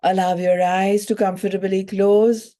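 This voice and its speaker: A woman with a soft-edged, mellow voice of medium pitch guides a meditation as a yoga teacher. She speaks very slowly in short, soothing phrases, leaving generous pauses between instructions. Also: little pitch movement.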